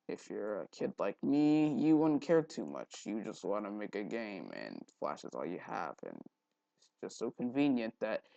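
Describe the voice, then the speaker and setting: Young male tutorial host, creaky fry, lively intonation, rambling and off-the-cuff delivery. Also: lowish voice, no room sound, highs cut off. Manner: rambling, thinking aloud, frequent throat clearing. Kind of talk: casual screen-recorded software tutorial. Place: indoors at a computer, voice-over for screen recording.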